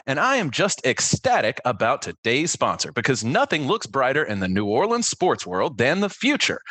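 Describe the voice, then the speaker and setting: Male sports-radio host, satirical scripted headlines, hugely animated intonation, mock-excited announcer delivery, dry studio-tight sound. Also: on the high side, no room sound.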